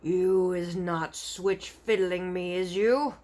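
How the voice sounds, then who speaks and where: A woman growling as a slow, brutish giant. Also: lowish voice, steady tone, darkish tone. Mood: suspicious. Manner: slow, menacing, drawn-out. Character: greedy brutish male giant, suspicious.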